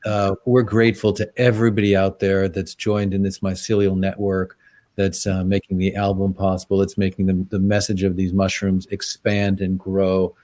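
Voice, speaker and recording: Low-voiced man hosting, dry sound. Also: level tone, darkish tone, no echo. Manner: halting, grateful, repeats words while starting sentences. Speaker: event host. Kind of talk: live-streamed conversation.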